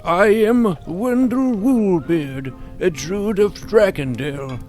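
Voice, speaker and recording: Man playing a high-voiced, halting pompous boy druid. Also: a little mellow, soft background music. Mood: grandly formal. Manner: halting, formal, pompous introduction. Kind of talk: fantasy audio drama acting.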